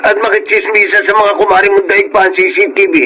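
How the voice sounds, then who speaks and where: A man's voice: sits high, flat delivery, fast, noisy background, lightly acted. A man playing an irritable middle-aged father and former seaman who wheedles and argues in a radio drama. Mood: aggrieved, irritated. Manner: wheedling, argumentative.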